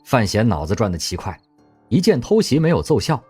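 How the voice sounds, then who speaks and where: A man narrating an audio drama with expressive storytelling. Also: medium pitch, a little fast, breathy edge. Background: faint music.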